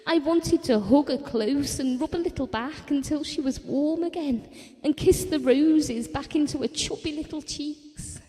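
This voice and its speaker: A fragile mother clinging to her lost daughter, in an intimate audio drama. The voice is a little high and warm, haunted and reflective. It rings out in a long reverb. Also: a little slow. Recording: long reverberant hall sound.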